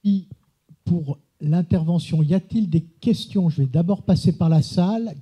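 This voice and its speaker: A man's voice: sits high, moderate pace, dark tone, slightly rough. A man moderating a conference, handing over in short, clipped phrases, on a muffled recording. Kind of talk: brisk event moderation. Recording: dull, muffled sound.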